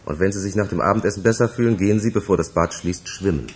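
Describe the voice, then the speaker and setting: A man's voice: sits low, fast talker, background noise. An actor playing a stern, matter-of-fact male doctor in a radio crime drama, authoritative and clinical.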